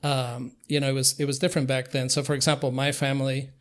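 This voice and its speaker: Older Cuban-born man, even-toned and halting. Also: medium pitch. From Cuba. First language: Spanish. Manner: halting, hesitant phrasing with frequent pauses. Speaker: interview guest. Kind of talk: conversational reminiscence.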